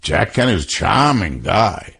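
A man's voice: low voice, measured pace, gravelly, husky. An older man with a Boston accent, telling a story from his past in an interview. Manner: relaxed storyteller, repeats phrases. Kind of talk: anecdotal storytelling.

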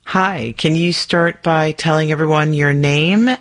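A woman radio producer narrating and interviewing her family in plain, clear language. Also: deep voice, fairly even intonation, a little slow, no echo, studio quality.

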